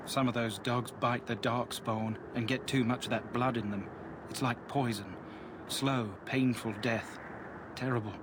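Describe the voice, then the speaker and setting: Over clearly noisy audio, a man acting as a plainspoken fantasy game soldier talks in a mid-pitched voice. He is colloquial and chatty, with a wry grumble, like a guard who has seen the whole camp. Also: steady tone, measured pace, lightly acted. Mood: grumbling. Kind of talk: video game dialogue.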